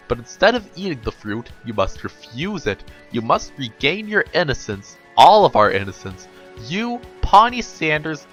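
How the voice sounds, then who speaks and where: A man's voice: medium pitch, a bit bright. Storybook girl leader, played by a man: professorial, earnest, emphatic, swinging delivery with music behind. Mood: solemn, commanding.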